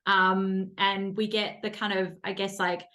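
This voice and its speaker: A woman's voice: medium pitch, little pitch movement, measured pace, sharp tone. A woman hosting a podcast, chatting casually with a guest and laughing often, with many "like" fillers. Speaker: podcast host. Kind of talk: casual interview chat.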